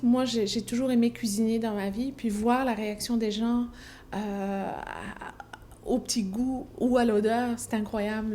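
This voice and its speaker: A woman's voice: a little high, dark tone, slight background noise. A woman, answering interview questions hesitantly with many fillers and restarts. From Belgium. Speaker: business owner, interview guest.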